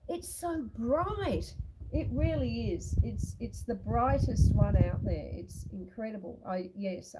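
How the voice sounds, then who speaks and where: A woman's voice: a little high, mellow, breathy edge, poor audio. A woman hosting a live stream outdoors on her homestead, chatting to viewers by name. She is still a bit snuffly with a cough from a long illness. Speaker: homestead livestream host. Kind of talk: casual live-stream chat. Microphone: phone on a tripod.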